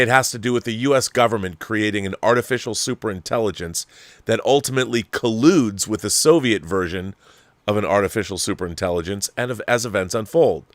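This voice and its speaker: A man's voice: fairly low pitch, a bit bright, dry recording. A man hosting an online talk show, speaking in an emphatic, conversational and opinionated way as he reads and answers viewer mail.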